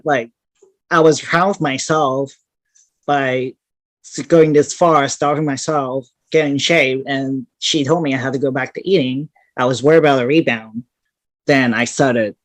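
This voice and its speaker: A woman's voice: very deep voice, no echo. A woman from Hong Kong giving casual, straightforward answers as an interview guest. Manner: blunt, straightforward. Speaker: interview guest, competitive bodybuilder.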